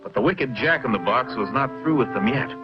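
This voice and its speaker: A man's voice: mid-range voice, expressive, a little fast. A man narrating a children's story in a conversational storytelling manner, speaking to young listeners, on a dull lo-fi soundtrack with music.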